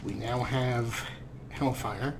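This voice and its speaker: A man's voice: medium pitch, fairly even intonation, slow. A man hosting a let's play with casual, playful game commentary, wordy and wry, with a theatrical streak. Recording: clear background noise, game ambience.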